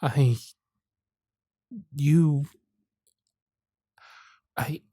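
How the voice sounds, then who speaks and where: A man playing a lovestruck, nervous circus performer in an intimate ASMR roleplay, speaking earnestly and haltingly. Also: fairly high pitch, steady tone, dark tone, no echo, clean studio sound, exaggerated voice. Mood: flustered, hopeful. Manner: hesitant, breathy, earnest, halting delivery.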